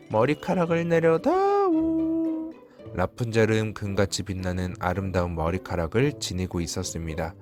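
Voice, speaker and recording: A man with a fairly low voice narrates a storybook fairy tale from a script. Music plays clearly behind his reading.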